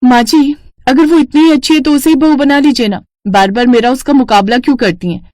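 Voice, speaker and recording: A woman's voice: high-pitched, a little fast, darkish tone, no room sound, pitched above the performer's own voice, natural voice. A woman voicing an outspoken, fed-up young daughter-in-law in a cartoon family story. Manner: indignant, talks back.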